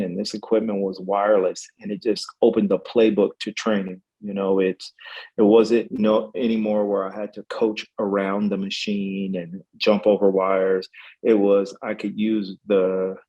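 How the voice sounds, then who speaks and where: A man trains clients and talks about it in a relaxed, halting way, full of ums and restarts. His voice is husky and somewhat low. He keeps a flat, even tone. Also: dull audio. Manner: hesitant, many fillers and restarts. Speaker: EMS trainer. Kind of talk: casual webinar talk.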